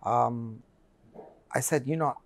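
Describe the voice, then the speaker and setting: A man's voice: mid-range voice, takes it slowly. A man in his forties from Colombia, telling his life story in an earnest interview with many fillers and restarts, on a lapel mic. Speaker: interview guest. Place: bright room with large windows.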